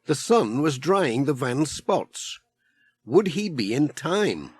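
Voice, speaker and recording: A man's voice: on the high side, sing-song, slow pace, darkish tone. A British man narrating a scripted children's story as an audiobook reader. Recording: noisy old audiobook transfer.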